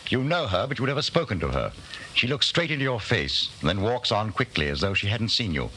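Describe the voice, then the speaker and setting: An actor playing a grave, ominous narrator, dramatic and admonishing in the manner of 1940s radio drama. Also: male voice, on the low side, very animated, a little fast, pushed and bright, rough recording. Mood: tense, suspenseful. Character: grave, ominous male narrator addressing the hero. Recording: old radio recording, faint noise.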